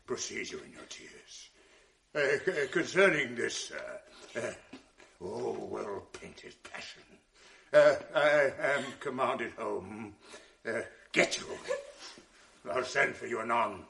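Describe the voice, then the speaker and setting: A tormented general consumed by jealousy. The voice is gravelly and slow, with a faint hiss behind it from an old radio broadcast. He broods in near-whispers, then breaks into bitter rage. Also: mid-range voice, big pitch swings, exaggerated voice. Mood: raging, bitter. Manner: shifts between hushed brooding and raging outbursts. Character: jealous, tormented male general, middle-aged. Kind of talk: 1980s radio drama acting.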